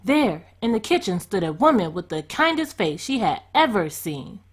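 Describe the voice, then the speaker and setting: A woman storyteller narrating a children's storybook in an expressive read-aloud style. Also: mid-range voice, normal speed, breathy edge.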